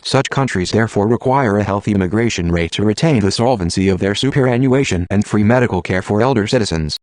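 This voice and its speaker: A man's voice playing a calm, well-read AI assistant, measured, formal and impartial in scripted dialogue. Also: lowish voice, dry recording, close to an everyday voice. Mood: measured, informative.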